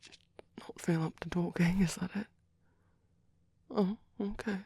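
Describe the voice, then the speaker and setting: Man playing a hushed, breathy, slow-talking caring vampire priest. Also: high voice, steady tone, dry recording, exaggerated voice. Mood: tender concern. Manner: gentle, hesitant, soothing, coaxing. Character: caring, tender vampire priest comforting his sleepy partner. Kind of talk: ASMR roleplay.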